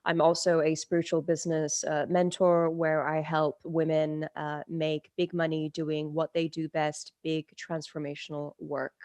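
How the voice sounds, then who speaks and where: A woman's voice: sits low, little pitch movement, measured pace, hardly any pauses, darkish tone, dry recording. A woman from Turkey, a spiritual teacher, telling her life story in a podcast interview, reflective with many fillers and restarts.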